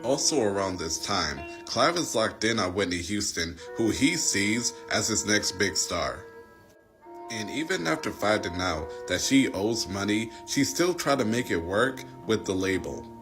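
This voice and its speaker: A man tells a story as an informal commentator, speaking plainly and conversationally. His voice is fairly low, and guitar music plays clearly behind him. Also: expressive, a little slow.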